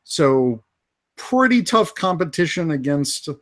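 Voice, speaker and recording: A man co-hosting a live fan podcast, casually going through toy and movie news with many pauses and fillers. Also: on the high side, big pitch swings, fairly bright tone. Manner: unhurried, lots of uh fillers. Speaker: podcast co-host.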